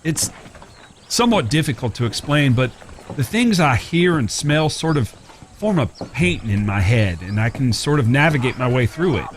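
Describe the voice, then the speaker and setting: A man's voice: medium pitch, sing-song, normal speed, a little hiss or hum, close to an everyday voice. An actor playing a charming, self-deprecating blind man in an Old West audio drama, with courtly, folksy phrasing. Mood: gently confident.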